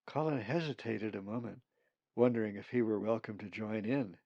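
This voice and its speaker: A man's voice: lowish voice, moderate pace, warm tone, no room sound. A man reading his own novel aloud as audiobook narration, on a slightly dull recording.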